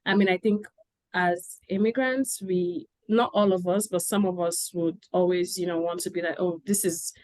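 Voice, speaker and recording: A woman from Nigeria hosting a panel conversation over a video call, chatty and quick to laugh, with many fillers. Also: on the low side, fairly even intonation, a little mellow, dry recording. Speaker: interviewer.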